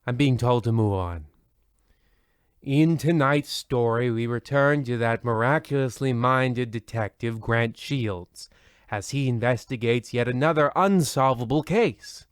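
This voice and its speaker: Guy doing a gloomy formal radio horror host, real slow and theatrical, dry sound, comedy radio play. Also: mid-range voice, clean tone, dry recording, natural voice. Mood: solemn, wry. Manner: formal, theatrical, dejected asides. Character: weary, wistful, disgruntled male host of a spooky radio show. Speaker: narrator and host of a radio anthology show. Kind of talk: old-time radio mystery host, scripted comedy.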